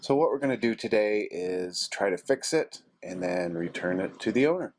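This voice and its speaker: A man's voice: lowish voice, normal speed. A middle-aged man in a casual, unassuming hands-on tutorial, thinking aloud as he works. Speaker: host of a DIY repair vlog. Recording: steady machine noise in background, 3D printer. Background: 3D printer running.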